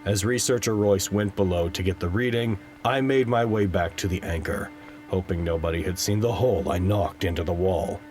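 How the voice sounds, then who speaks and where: A man acting in an audio drama as a well-meaning, exasperated man juggling a crisis, urgent and excitable. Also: deep voice, normal speed, faint music behind, natural voice. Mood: narrating.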